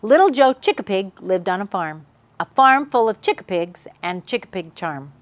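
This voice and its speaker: A woman's voice: medium pitch, sing-song, moderate pace, dry recording. A woman hosting a children's read-aloud, telling a picture-book story expressively to young viewers.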